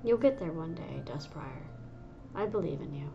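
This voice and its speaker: Lady voicing a gentle patient she-cat leader, soft and soothing, faint music behind. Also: mid-range voice, steady tone, takes it slowly, vocal fry, dull audio. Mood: calm. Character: female cat clan leader, calm and patient.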